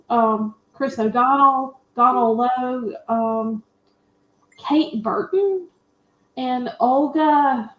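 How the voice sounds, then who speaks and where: Woman, fairly high voice, roomy echo, casual movie-show panelist, candid and opinionated. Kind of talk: casual chat, informal film recap. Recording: roomy, echoey sound.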